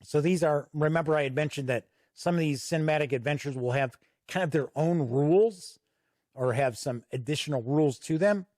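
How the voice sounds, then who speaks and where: A man hosting an unscripted review walk-through, explaining things in a measured way with deliberate pauses. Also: fairly high pitch, a little mellow, no room sound, studio quality. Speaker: host and reviewer.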